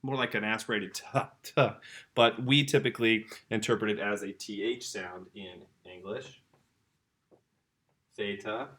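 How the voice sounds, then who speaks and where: A middle-aged man teaching a casual video lesson, relaxed and chatty, correcting himself as he writes on a board. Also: on the low side, measured pace.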